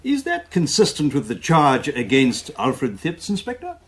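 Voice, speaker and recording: Lively, high-voiced English coroner. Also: male voice, heavily acted. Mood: formal, probing. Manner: formal, deliberate questioning. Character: formal, probing male coroner questioning witnesses. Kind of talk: radio drama courtroom acting.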